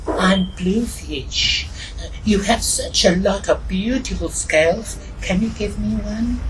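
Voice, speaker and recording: A friendly little storybook fish, done by a man, calls out high above normal talk in an eager, pleading sing-song. The sound is distant and noisy. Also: slow pace. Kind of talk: children's storytelling.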